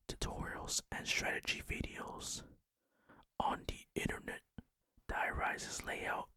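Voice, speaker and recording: A man whispering breathily and deliberately into a close microphone as he hosts an ASMR gaming commentary. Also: sharp tone, no room sound. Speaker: gaming video host.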